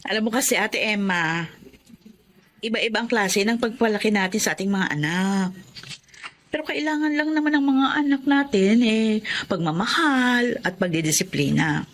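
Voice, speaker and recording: A woman's voice: mid-range voice, animated, moderate pace, close to an everyday voice. An actress playing a hardworking, caring middle-aged Filipino mother who fusses a little, in radio drama style. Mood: humble, earnest. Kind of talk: Tagalog radio drama acting.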